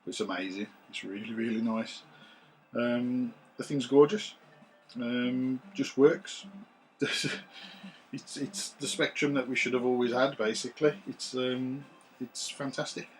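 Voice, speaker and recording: A man, his voice a bit rough from recovering after losing it, chatting to camera in a relaxed, rambling way with many ums, recorded on a camera's built-in mic in a small room. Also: medium pitch, a little slow, a little hiss or hum, rough recording. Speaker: retro games collector vlogger. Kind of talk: casual chat to camera. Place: small room full of retro computers.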